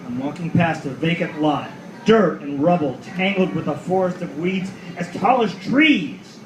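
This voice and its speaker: A hardboiled, cynical private eye acted by a man tells his noir story with swooping, animated pitch. His voice sits a bit high and he swears with weary sardonic bite. The roomy live recording carries faint noise. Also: measured pace. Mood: weary, watchful. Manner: world-weary, profane, sardonic. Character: hardboiled cynical male detective at the world's end. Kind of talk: noir radio play, first-person narration. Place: live reading in a roomy venue.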